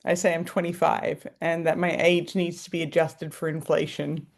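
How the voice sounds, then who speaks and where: A woman's voice: low voice, little pitch movement, normal speed, gravelly. A woman co-hosting a podcast, teasing and joking in casual chat. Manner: playful, teasing. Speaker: podcast co-host.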